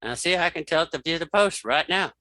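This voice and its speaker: A man giving a casual spoken software walkthrough as an instructor, thinking aloud informally as he demonstrates. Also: on the high side, lively intonation, fast talker, no room sound. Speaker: screen reader tutorial instructor.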